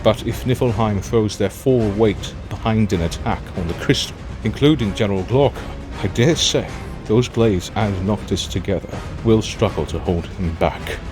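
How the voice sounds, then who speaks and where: A dignified, fatherly king, played by a man in an audio drama. His voice is fairly low and his phrasing is formal and stately. Soft music sits behind him. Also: normal speed, natural voice. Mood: calm, resolute. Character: dignified, resolute aging king, calm and fatherly. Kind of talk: fan audio drama acting.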